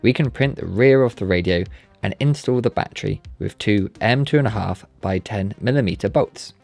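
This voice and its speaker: A man's voice: fairly low pitch, normal speed, creaky, a little mellow, faint music behind. A man giving scripted, step-by-step DIY tutorial narration in a careful, politely instructional manner. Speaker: presenter of a DIY build tutorial.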